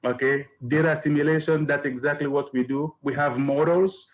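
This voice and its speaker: A man's voice: fairly high pitch, fairly even intonation, a little fast. Man explaining with good humor. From Cameroon. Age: 50s. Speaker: scientist panelist. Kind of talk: webinar self-introduction. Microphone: video call.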